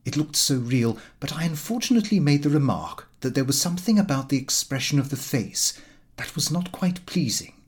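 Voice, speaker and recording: A man narrates a literary diary as an audiobook. His pitch moves around in an animated way, and his voice has a husky edge. The delivery is scripted first-person storytelling. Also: fairly quick. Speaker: audiobook reader.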